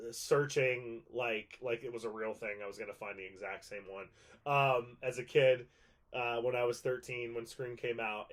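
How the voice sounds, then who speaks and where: A man in his thirties casually explaining things to viewers, rambling a little and repeating points for emphasis. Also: mid-range voice. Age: 30s. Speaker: video host and prop collector.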